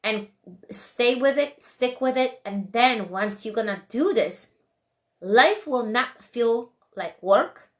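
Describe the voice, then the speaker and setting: A woman with a Polish accent hosting a live motivational talk, her voice sitting somewhat high. She speaks English as a second language, earnest and repetitive, often stopping to restart a word. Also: expressive, slow pace. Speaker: host and coach.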